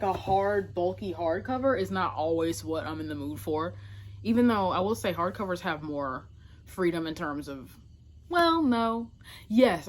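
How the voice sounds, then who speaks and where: A woman's voice: on the low side, slight background noise. A woman talking casually to camera as a book vlogger, informal and emphatic, often correcting herself with little asides. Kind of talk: casual chatty talk to camera.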